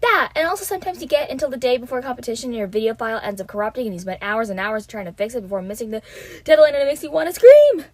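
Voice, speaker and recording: A woman plays an eager young student in a radio drama. The voice is high and swoops with excitement, earnest and chatty, recorded close and dry. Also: racing, few pauses, dry recording. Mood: exasperated. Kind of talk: old-time detective radio drama.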